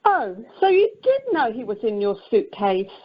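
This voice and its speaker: A woman's voice: a little high, sing-song, normal speed, breathy edge. Fed-up, sarcastic wife, woman performer; amateur radio comedy, swooping delivery over a dull phone-conference line. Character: impatient, sarcastic wife fed up with mother-in-law. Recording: muffled telephone conference line.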